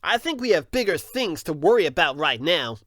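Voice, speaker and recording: A man's voice: a little high, sing-song, moderate pace, a bit bright, no echo, clean studio sound. A man acting a cocky, heroic young hedgehog in a fan audio drama, breezy and confident. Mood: casual, matter-of-fact.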